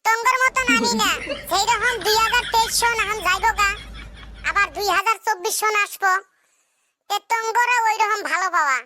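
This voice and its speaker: A man voicing a cheeky young village bachelor in a comedy cartoon, with a northern Bangladeshi accent, a pitched-up effect and a teasing, complaining manner. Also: moderate pace, sharp tone, full character voice. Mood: indignant. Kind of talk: comic cartoon dialogue.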